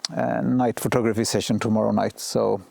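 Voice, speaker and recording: A man with a cold and a sore throat, vlogging outdoors at night and thinking aloud with pauses and restarts. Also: on the low side, little pitch movement, gravelly, background noise. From Sweden. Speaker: photography vlogger. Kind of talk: casual vlog narration.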